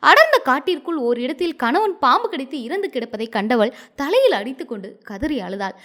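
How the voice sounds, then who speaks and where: High-pitched, animated woman storyteller. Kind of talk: scripted narration of a moral story.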